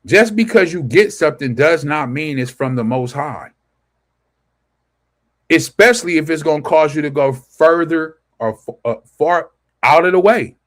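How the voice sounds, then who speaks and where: A man's voice: a little high, animated, normal speed. A man reading scripture aloud and preaching informally to an online audience, asking rhetorical questions and answering them himself. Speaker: scripture teacher. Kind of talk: informal scripture study livestream.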